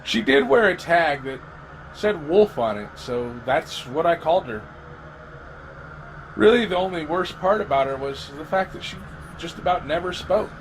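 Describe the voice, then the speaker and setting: A weary, grieving male survivor of the apocalypse , acted by an amateur man, narrates his lonely story in a somewhat high voice. He speaks haltingly, with dramatic pauses and repeated words. A faint noise sits under the home-recorded audio drama. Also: expressive, lightly acted, low-quality recording. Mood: lonely, worried. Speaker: amateur voice actor. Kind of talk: scripted first-person audio drama narration.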